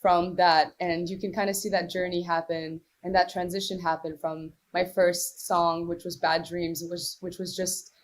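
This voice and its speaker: A woman's voice: on the low side, level tone, moderate pace, hardly any pauses. A young woman from Morocco, talking loosely as an interview guest. She fills her answers with "like" and restarts, in an easy, chatty way. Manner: chatty, many "like" fillers and restarts. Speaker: singer-songwriter, guest.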